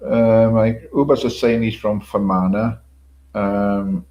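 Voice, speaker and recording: A man's voice: on the low side, fairly even intonation, slow pace, dark tone, highs cut off. An older man hosting a casual livestream, rambling, repeating himself, swearing casually and chuckling to his viewers. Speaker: livestream host. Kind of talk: casual solo livestream chat.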